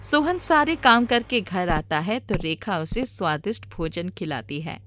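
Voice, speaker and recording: A woman's voice: on the low side, expressive, a little slow, a bit bright, a little hiss or hum. A woman reading scripted narration for a moral story in a storyteller's manner.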